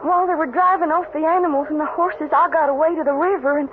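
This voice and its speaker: Brief: actress as high-voiced young homesteader widow, timid, stammering, folksy; noisy old radio sound. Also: female voice, steady tone, fast, phone-quality sound, close to an everyday voice. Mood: grieving, grateful. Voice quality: faltering, breathless. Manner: stammering, folksy rural speech. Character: distraught young widowed homesteader, timid, grateful, frontier woman. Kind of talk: old-time radio drama acting.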